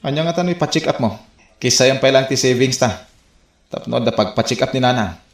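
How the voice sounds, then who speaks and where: A woman's voice: a bass voice, expressive, fairly quick. A woman playing a worried, nagging yet caring husband in a radio comedy drama, with an Ilocano accent and exasperated questioning. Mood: caring, resigned. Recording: echoey, faint music behind.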